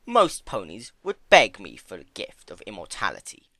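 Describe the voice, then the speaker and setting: A sly male creature of chaos as a man narrator puts him on, theatrical and sardonic. The delivery is silky with a sneer, bright and forceful, very animated in pitch, recorded dry. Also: moderate pace, no echo, heavily acted. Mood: defensive, exasperated.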